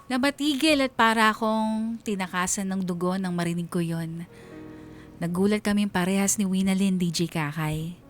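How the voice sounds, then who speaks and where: A woman with a medium-pitched voice narrates a scripted personal story for radio. Her delivery is sorrowful and reflective. Soft music plays underneath. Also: steady tone, moderate pace. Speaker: radio drama narrator reading a listener's letter.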